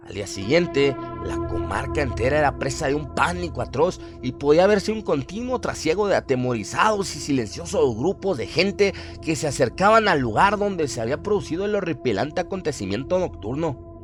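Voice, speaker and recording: A man's voice: fairly high pitch, expressive, brisk. Solemn male horror narrator over music. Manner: solemn, literary, suspenseful delivery. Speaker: narrator of a horror radio drama. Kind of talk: dramatic scripted horror narration. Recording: music behind the voice.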